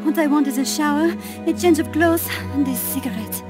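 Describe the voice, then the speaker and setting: A woman's voice: sits high, brisk, lightly acted. An actress playing an earnest, frightened young French woman with a French accent in a video game cutscene. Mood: weary, demanding. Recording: music behind the voice.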